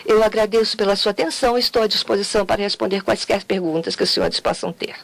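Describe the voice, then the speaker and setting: High-voiced man giving earnest testimony. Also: fairly even intonation, fast, vocal fry, fairly bright tone. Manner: earnest, with self-corrections and restarts. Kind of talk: formal public testimony. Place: courtroom hearing chamber.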